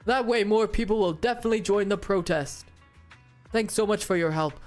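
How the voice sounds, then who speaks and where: A performer voicing an earnest, grateful activist in scripted game dialogue. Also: female voice, mid-range voice, a little fast, darkish tone, faint music behind, natural voice.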